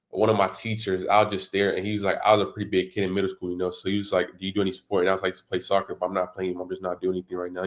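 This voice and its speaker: Low, flat-voiced teenage boy, rambling. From Democratic Republic of the Congo. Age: teens. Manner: rambling, many fillers like "you know" and "and all that". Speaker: high school football recruit. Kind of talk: casual interview answers.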